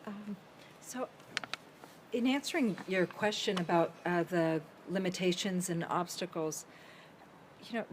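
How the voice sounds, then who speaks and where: Brisk, pointed woman panelist. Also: on the low side, noisy background. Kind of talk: panel discussion remarks. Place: conference hall.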